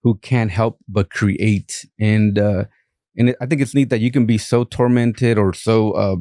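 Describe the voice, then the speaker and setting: Brief: Mexican-accented man, lowish warm voice, hesitant guest speaker on a dry mic. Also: steady tone, no echo. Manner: halting, many fillers and restarts. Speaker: art collector guest. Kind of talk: casual interview talk.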